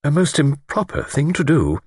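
A man voicing a prim, gentle, genteel older lady in an audiobook, speaking politely and properly. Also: medium pitch, sing-song, moderate pace, husky, no echo, clean studio sound, pitched above the performer's own voice. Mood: gently disapproving.

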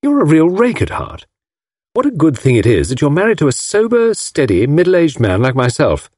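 Wry young gentleman, read by a man, dry delivery, husky tone. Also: on the high side, wildly swooping pitch, normal speed, no echo, voice pushed up.

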